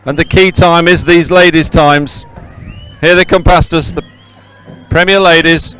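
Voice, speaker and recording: A man commentates on a race live from outdoors. His voice sits a little high and comes through very clean and resonant. He speaks off the cuff, hesitating, repeating words and correcting himself as he goes. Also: fairly even intonation, measured pace, fairly bright tone, clean tone, rough recording. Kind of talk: live unscripted sports commentary. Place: outdoors beside a race course.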